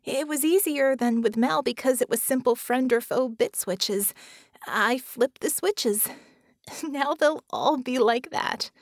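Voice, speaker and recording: A woman narrator voicing an excited young woman engineer, talking breathlessly in a high, rushing voice. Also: no room sound, clean studio sound. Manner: breathless, quick, high-pitched. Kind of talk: audiobook narration.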